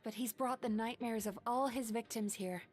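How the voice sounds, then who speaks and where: A woman voicing a calm, compassionate young dream therapist in a video game, gentle and composed. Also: a little high, normal speed, natural voice. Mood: grave, explaining. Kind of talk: English game voice acting. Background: game music.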